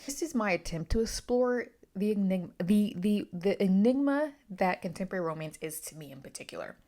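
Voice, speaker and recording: A woman's voice: medium pitch, expressive, fairly quick, dark tone. A woman talking casually through a book review, opinionated and emphatic, often wandering into asides.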